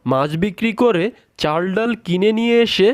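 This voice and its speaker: A man's voice: sits high, expressive, moderate pace, smooth. A man voicing a doting, teasing, poor fisherman father in a cartoon. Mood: placating, fond. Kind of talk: cartoon character dialogue.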